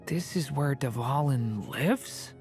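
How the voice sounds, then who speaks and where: A woman voicing a gentle young male dragon in human form, earnest, curious and polite, in a video game. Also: extremely low pitch, expressive, takes it slowly, soft background music, heavily acted. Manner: earnest, polite, soft-spoken. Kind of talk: video game character acting.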